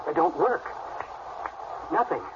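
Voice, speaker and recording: A man's voice: fairly high pitch, expressive, takes it slowly. A man playing an uneasy everyman father on a family vacation in a radio horror play. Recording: noisy, muffled radio play recording.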